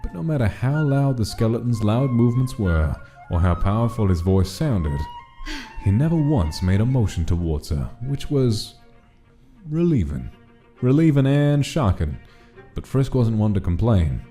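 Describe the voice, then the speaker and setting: A man's voice: lowish voice, very animated, moderate pace, dark tone. A man reading a scripted, dramatic story as its narrator. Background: background music.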